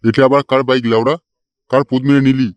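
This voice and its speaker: A man voicing a gruff, teasing, grumbling village fellow in a comedy cartoon. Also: medium pitch, level tone, fast, no room sound, lightly acted. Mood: mocking annoyance. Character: gruff, mocking village petrol pump guy and club mate.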